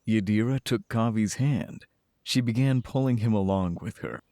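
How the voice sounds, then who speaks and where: A man's voice: lowish voice, moderate pace, warm tone, no room sound, clean studio sound. A man narrating a scripted fantasy audio drama in the third person.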